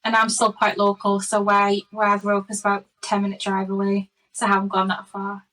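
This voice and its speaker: A woman chats casually as a podcast guest. She talks quickly on an even pitch, a little way from the microphone. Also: recorded from a distance, rough recording. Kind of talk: casual chat.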